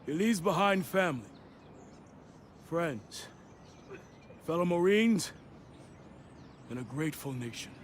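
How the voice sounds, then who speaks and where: A man's voice: on the high side, animated, takes it slowly, long pauses, slight background noise. A man playing a stern, commanding Marine sergeant in a video game, with a formal, authoritative military delivery. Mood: solemn. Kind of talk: dramatic video game acting.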